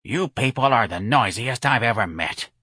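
A man's voice: mid-range voice, expressive, normal speed, sharp tone, husky, no room sound, studio quality. A man voicing a confused, fierce old woman, querulous and demanding, in an audiobook. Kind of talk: audiobook character voice.

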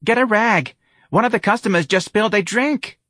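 A man's voice: medium pitch, very animated, moderate pace, fairly bright tone, husky, no room sound, clean studio sound, pitched above the performer's own voice. A man voicing a tipsy, folksy mother of a grown son, chatting in a bar. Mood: coaxing.

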